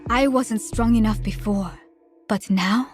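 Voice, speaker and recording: A woman plays a serene healer in a game, speaking in a solemn, unhurried way. She delivers each line very slowly over clear game music. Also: a little high, darkish tone, close to an everyday voice. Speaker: game character.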